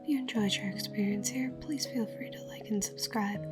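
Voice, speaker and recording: A woman ASMR creator addressing her viewers in a soft, breathy whisper. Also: mid-range voice, moderate pace, music in the background.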